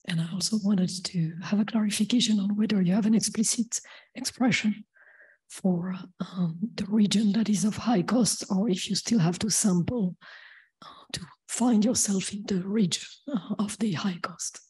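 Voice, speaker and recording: Very hoarse woman, voice nearly gone. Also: medium pitch, dark tone, rough recording. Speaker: audience member asking a question. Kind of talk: careful technical question. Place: large lecture theatre.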